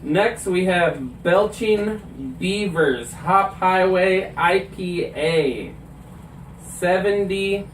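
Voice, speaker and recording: A man's voice: high-pitched, very slow, a little hiss or hum. A man co-hosting a podcast in casual chat, reading out beer labels and laughing easily at crude jokes. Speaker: podcast co-host. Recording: echoey room, mic at a distance.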